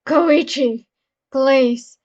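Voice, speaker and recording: A woman's voice: high-pitched, extremely slow, a little mellow. A woman voicing a reserved grown man who is flustered and submissive, speaking hesitantly and pleadingly. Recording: dull lo-fi recording.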